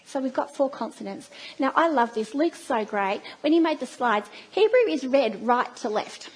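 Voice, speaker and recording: Australian woman preaching, breathy and high. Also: expressive, moderate pace, low-quality recording. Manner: warm storytelling, playful asides. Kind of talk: sermon.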